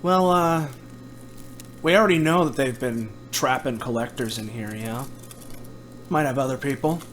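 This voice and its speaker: A man's voice: mid-range voice, measured pace, long pauses, fairly bright tone, lightly acted. A folksy male adventurer, acted by a man in a tabletop game, with a mid-range voice. He speculates in casual, colloquial phrases over faint background noise. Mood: musing. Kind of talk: in-character tabletop roleplay.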